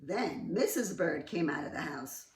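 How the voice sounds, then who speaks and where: A woman reading a storybook aloud to children, expressive and sing-song, with questioning asides. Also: low-pitched, unhurried, far from the mic, a little hiss or hum. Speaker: children's read-aloud host. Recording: roomy, set back from mic.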